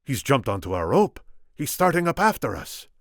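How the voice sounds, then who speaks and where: A man's voice: mid-range voice, sing-song, moderate pace, fairly bright tone, dry recording, studio quality, voice pushed up. A man voicing a Spanish adventurer, a loyal lookout in a storybook tale. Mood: alert, urgent.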